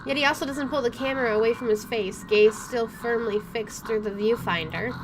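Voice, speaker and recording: A woman's voice: on the high side, a little fast, background noise. A woman hosting a let's play, reading story narration aloud and adding casual asides. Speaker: gaming video host. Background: game music.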